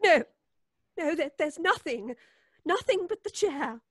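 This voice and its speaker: An actress playing a distressed, indignant, prim woman, emphatic and high-strung, in old-time radio suspense style. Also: expressive, measured pace, no echo, clean studio sound. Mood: panicked, tearful.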